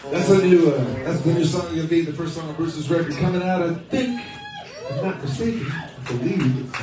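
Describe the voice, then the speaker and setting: A man's voice: on the high side, huge pitch swings, brisk. A man bantering and teasing his bandmate between songs at a live music club, laughing often as he talks. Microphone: stage mic heard from the audience. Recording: echoey club, from far off, room noise.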